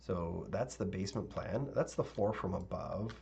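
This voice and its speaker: A male architect teaching a live software tutorial, talking casually with viewers and thinking aloud with fillers; his voice is a little raspy. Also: deep voice, moderate pace, dull audio, slight background noise. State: slightly raspy voice, clearing throat.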